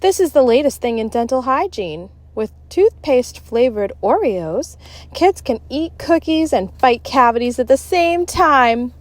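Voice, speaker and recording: A woman's voice: high voice, very animated, measured pace, no room sound, voice pushed up. A woman voicing a playful, prank-loving female schoolteacher with teasing mock innocence in a children's story. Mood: gleeful mischief.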